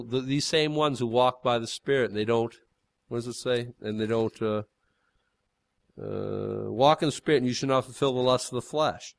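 Folksy preacher with a big voice. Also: male voice, mid-range voice, no room sound. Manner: folksy, rambling, with frequent fillers and restarts. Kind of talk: informal sermon.

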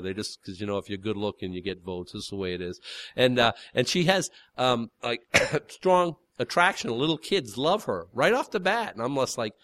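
A man's voice: lowish voice, creaky, dry recording. A man preaching an informal sermon in a folksy, rambling way, full of fillers and restarts, with a big voice.

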